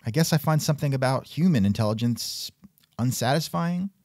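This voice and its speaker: Sardonic male comedy host, animated intonation, dry close mic. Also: mid-range voice, a little fast, no echo. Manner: sardonic, exasperated. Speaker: podcast host. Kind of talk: scripted comedic interview.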